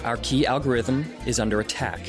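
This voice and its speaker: A man's voice: medium pitch, fairly quick, natural voice. Actor as self-assured male tech executive, music behind. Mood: coaxing. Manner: corporate jargon, coaxing. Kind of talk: audio drama acting.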